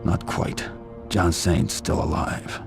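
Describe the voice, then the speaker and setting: A man playing a grim, ruthless vigilante in a video game, gravelly, terse and deadpan. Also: low-pitched, slow pace, heavily acted. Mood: cold menace. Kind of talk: video game cutscene acting. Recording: loud music under the voice.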